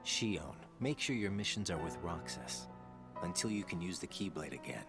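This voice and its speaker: A man's voice: sits low, expressive, normal speed. A man playing a laid-back young man, a wry, protective older friend in a video game cutscene, over music. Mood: decisive.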